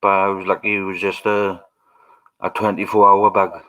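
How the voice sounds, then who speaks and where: A man's voice: deep voice, flat delivery, normal speed, a bit bright. A Welsh man hosting a casual livestream, rambling and musing aloud to his viewers. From Wales. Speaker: livestream host.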